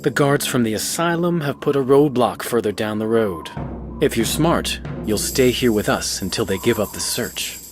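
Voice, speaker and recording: A man as an animated, chatty runaway inmate. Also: medium pitch, moderate pace, lightly acted. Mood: casual. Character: chatty, easygoing runaway asylum inmate, male. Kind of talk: cartoonish game character acting. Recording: background music under the voice.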